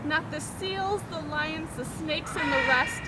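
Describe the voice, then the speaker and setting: Woman reading as an excitable, high-voiced storybook emu. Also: slow, heavy background noise. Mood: amazed, delighted. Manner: expressive, declaiming in rhyme. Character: restless, eager male emu in a storybook.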